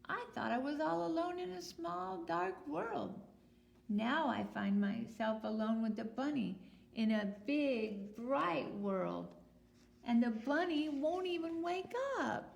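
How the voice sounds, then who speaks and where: A woman's voice: high voice, animated, slow pace, vocal fry, pitched above the performer's own voice, exaggerated voice. A woman voicing a curious, chatty newborn duckling in a children's picture-book read-aloud, with a wondering, emphatic delivery. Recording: roomy echo with noticeable hiss.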